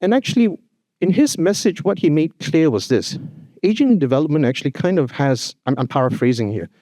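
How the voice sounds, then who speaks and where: A man's voice: fairly high pitch, animated, brisk, warm tone, no room sound. A man giving a formal opening address at a conference, speaking earnestly and emphatically, often adding "you know" and "actually". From Singapore. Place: hotel conference hall.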